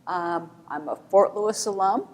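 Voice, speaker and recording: A woman's voice: mid-range voice, normal speed, darkish tone. A woman professor from Colorado explaining her field on a panel, with frequent fillers. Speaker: professor and department chair. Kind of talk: panel discussion. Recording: reverberant hall.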